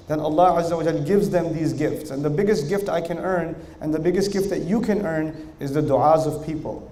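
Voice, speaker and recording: A man with a fairly high voice gives a religious talk to a crowd, speaking in a storytelling way that rises with emphasis on key points. His voice echoes around a large hall. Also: warm tone. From Pakistani family, raised in Germany, Saudi Arabia and New York. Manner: conversational storytelling, rising emphasis, rhetorical questions. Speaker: Islamic lecturer. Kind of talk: religious lecture to an audience.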